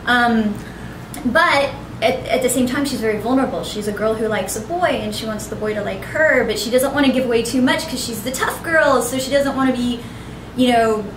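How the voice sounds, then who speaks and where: A young woman with a bubbly, youthful voice pitched a little high talks in a lively, chatty way, full of little restarts. She is recorded from a distance in a big convention hall, with plenty of echo and a steady murmur of crowd noise behind her. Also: fairly quick. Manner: animated, chatty, fills with ums and restarts. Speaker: voice actress, guest. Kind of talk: casual convention interview. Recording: echoey, distant, noisy convention ambience.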